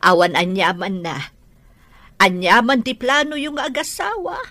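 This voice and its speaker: A woman's voice: mid-range voice, very animated, a little slow, sharp tone, no echo. A woman playing a supportive, motherly older auntie in an Ilocano radio drama, with an Ilocano Filipino accent.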